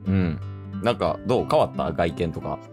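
A man's voice: deep voice, animated, moderate pace, voice pushed down. A man with a Kansai accent playing a friendly young man casually and hesitantly asking an old friend out in an improvised radio drama. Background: plucked guitar music.

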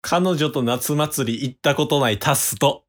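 A man's voice: fairly high pitch, a little slow. A man with a Kansai accent co-hosting a casual comedy radio show.

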